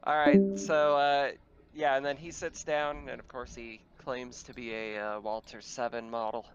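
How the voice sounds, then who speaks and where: A man's voice: mid-range voice, level tone, moderate pace, lo-fi sound, a little hiss or hum. A man running a tabletop roleplaying game, narrating casually as game master.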